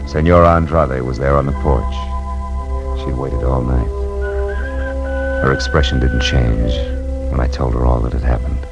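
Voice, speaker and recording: A man's voice: rumbling low, vocal fry. A male actor playing a world-weary private detective with an American accent, narrating tersely in a noir radio drama. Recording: old radio broadcast, music behind.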